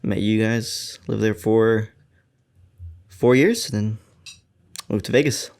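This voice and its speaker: A man's voice: on the low side, slow. A man in his twenties from Colorado, a podcast guest chatting casually with some rambling and laughs. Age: 20s. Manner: relaxed, rambling, laughs often. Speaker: podcast guest, church graphic designer.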